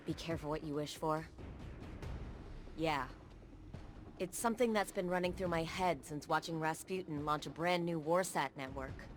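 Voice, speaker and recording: Woman acting an earnest, low-voiced steadfast ally. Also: a little hiss or hum, natural voice. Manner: reflective, conversational, sincere. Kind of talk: video game cutscene acting.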